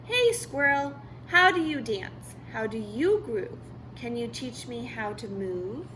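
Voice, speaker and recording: An eager young boy cat from a picture book, voiced by a woman. He speaks in rhyme with earnest bounce, high and unhurried, over faint noise. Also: very animated. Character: eager, hopeful young male cartoon cat who loves dancing.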